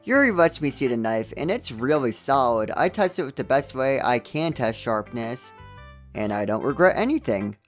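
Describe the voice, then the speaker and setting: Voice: mid-range voice, animated, normal speed, soft background music. A game reviewer narrating a scripted comedic recap with wry, joking asides.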